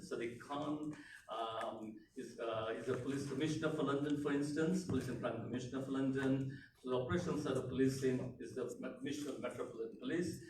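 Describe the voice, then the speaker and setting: A man's voice: medium pitch, sharp tone. Middle-aged guy from tanzania giving a speech, lots of uhs and ums, echoey room. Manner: hesitant, many fillers and restarts. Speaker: elected politician speaking at a community event. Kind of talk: unscripted speech. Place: community event room. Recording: echoey room, mic far off, background noise.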